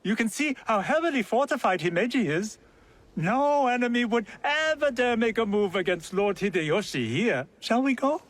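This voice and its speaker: An actor playing a theatrical young samurai ally in a video game, jaunty and mock-formal. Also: male voice, extremely high pitch, lively intonation, moderate pace. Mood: playful.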